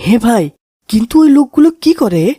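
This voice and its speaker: A woman acts as a timid young boy in a kids' cartoon. The voice is husky and swoops up and down, excitable and anxious in manner. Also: fairly high pitch, moderate pace, no echo, clean studio sound. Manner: excitable, anxious, wide-eyed questioning. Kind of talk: children's cartoon voice acting.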